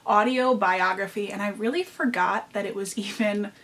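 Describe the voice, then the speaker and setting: Nasal midwestern girl doing book recs, super gushy, repeats stuff for emphasis, medium pitch. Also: normal speed, fairly bright tone. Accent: Midwestern American. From American Midwest. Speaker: book vlogger. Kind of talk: enthusiastic book recommendations.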